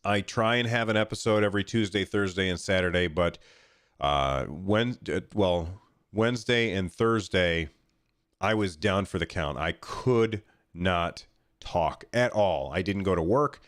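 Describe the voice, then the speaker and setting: A man's voice: deep voice, unhurried, a bit bright, no room sound. A man hosting a podcast solo in casual, chatty talk, sounding nasal and congested with a cold, speaking directly to listeners on a close microphone. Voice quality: nasal, stuffed-up. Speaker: podcast host.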